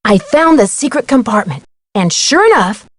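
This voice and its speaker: A woman's voice: on the high side, very animated, normal speed, no room sound, clean studio sound. A woman voicing an inquisitive young female detective in a video game, speaking earnestly and neatly as she explains things. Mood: earnest, curious. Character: bright, inquisitive teenage girl amateur detective.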